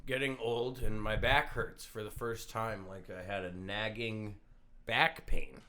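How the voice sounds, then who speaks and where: Young comedian guest, low-ish voice with a bright edge, rambling and loose. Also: male voice, fairly even intonation, measured pace. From Connecticut. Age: 20s. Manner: rambling, swears casually. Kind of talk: casual podcast chat.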